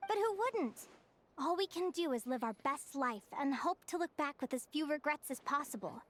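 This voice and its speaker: High, perky, earnest girl adventurer. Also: animated, normal speed. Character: cheerful, earnest, warm-hearted girl adventurer. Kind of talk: video game story dialogue.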